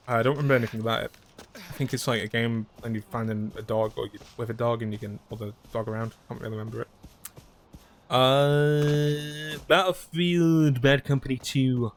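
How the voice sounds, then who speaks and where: Man streaming a game at home, casual chat, hesitant rambling with many fillers, blunt swearing, mid-pitched voice, faint background hum. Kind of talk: casual chat while playing a video game.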